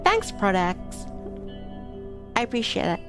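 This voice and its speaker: Brief: woman streamer narrating a game, mid-pitched voice, chatty asides, soft music under her. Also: very animated, unhurried. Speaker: VTuber streamer. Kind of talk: reading a visual novel aloud. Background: background music.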